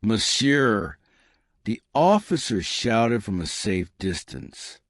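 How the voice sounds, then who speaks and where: A man narrating a scripted comic story with dramatic, deadpan delivery and deliberate pauses. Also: lowish voice, no echo, studio quality.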